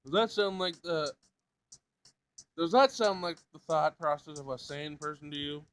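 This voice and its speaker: Literal-minded schoolgirl android for a visual novel, formal, deadpan delivery, slightly high for a male read man acts it out. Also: normal speed, clean tone, natural voice. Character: blunt, literal-minded robot in a high school girl's body.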